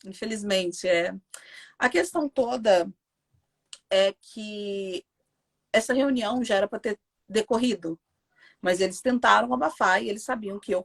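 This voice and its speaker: A woman's voice: mid-range voice, gravelly. A Brazilian woman with a Brazilian Portuguese accent, a mother telling an interviewer her story in a casual, emphatic, indignant way.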